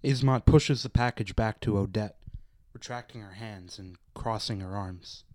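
A man's voice: lowish voice, normal speed, darkish tone, no room sound. A man reading scripted narration as the narrator of a radio play. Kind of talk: scripted narration of stage directions.

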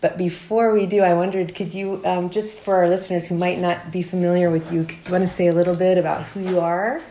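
A woman interviewing a guest on a podcast, asking friendly, measured questions. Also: lowish voice, little pitch movement, warm tone, a little hiss or hum. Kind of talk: conversational interview. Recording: echoey room.

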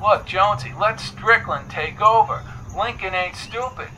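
A blunt, protective confidant from an audiobook, acted out by a man, pitched noticeably high. The delivery is brusque, bossy and colloquial, with tough talk. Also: a little slow, sharp tone, a little hiss or hum, low-quality recording. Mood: worried, bossy.

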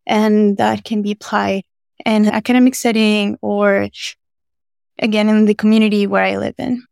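A woman's voice: mid-range voice, little pitch movement, moderate pace, creaky, darkish tone, clean tone, no echo, studio quality. A young woman from Venezuela, a student intern, speaking thoughtfully in a casual podcast conversation. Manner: thoughtful, uses many fillers.

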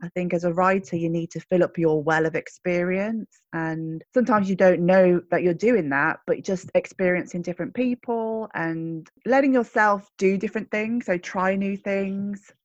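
A woman's voice: fairly low pitch, moderate pace, hardly any pauses, dry recording. A woman with a slight Birmingham accent, a children's writer answering interview questions in a thoughtful, loosely phrased way over a video call. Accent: slight Birmingham (Brummie). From Birmingham, England. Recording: muffled video-call audio.

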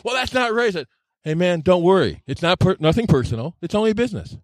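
A man's voice: high-pitched, very animated, fast, no echo, studio quality. A man telling anecdotes casually on a podcast, often stammering and repeating words as he goes, plain-spoken and self-deprecating. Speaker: podcast storyteller. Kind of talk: casual anecdotal storytelling chat.

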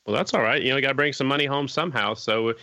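A man's voice: medium pitch, racing, bright, forceful tone, no echo. A man hosting a casual podcast interview, easygoing and friendly. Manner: friendly, easygoing, says "right on" a lot. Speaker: podcast host.

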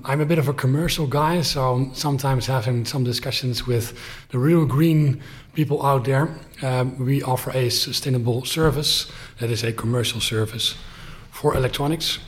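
A man in a podcast conversation, explaining his work briskly and plainly. His voice sits at an everyday middle pitch, and he drops in fillers as he goes. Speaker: guest, company founder. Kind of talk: podcast interview.

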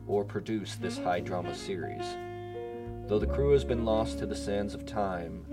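A man with a low voice reads as a podcast announcer. His delivery is formal, measured and clearly scripted. Music plays behind him. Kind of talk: scripted narration, polished radio-host announcements.

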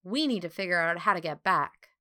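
Woman voicing an earnest, worried boy, bright tone. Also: on the low side, expressive. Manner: careful, halting explanations.

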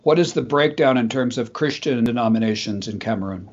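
A man's voice: mid-range voice, fairly quick, husky. Friendly male interviewer, muffled sound. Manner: friendly, brief affirmations between questions. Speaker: interview host. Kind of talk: conversational interviewing.

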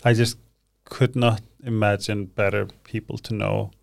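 A woman's voice: rumbling low, little pitch movement, measured pace, creaky, a little mellow. A woman hosting a podcast, asking curious questions and giving short reactions to her guest. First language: Icelandic. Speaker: podcast host. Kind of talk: casual interview conversation.

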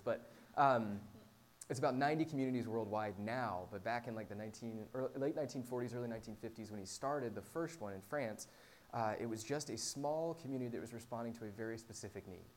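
A man's voice: mid-range voice, darkish tone. Informal male preacher, noisy recording with hum. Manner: self-deprecating, humorous. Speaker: pastor preaching to a small church. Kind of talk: informal conversational sermon. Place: live talk to a small congregation. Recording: clear background noise with hum.

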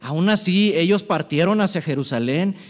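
Male preacher, higher-pitched, informal conversational sermon delivery, recorded with long hall reverb. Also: animated, normal speed. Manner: conversational, frequent rhetorical tag questions.

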